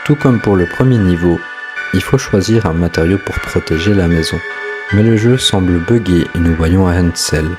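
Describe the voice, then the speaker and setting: A man's voice: sits low, moderate pace. A man hosting and narrating a scripted explainer of a horror story. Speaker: host, narrator. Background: music.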